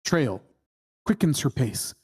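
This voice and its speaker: Slow, husky screenplay narrator. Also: mid-range voice, big pitch swings, darkish tone. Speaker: screenplay reader. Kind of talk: reading screenplay stage directions at a table read.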